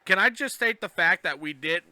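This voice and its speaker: A man's voice: sits high, animated, normal speed, pushed and bright, no room sound. A man talking casually on a fan podcast, trading theories with others. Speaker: podcast speaker.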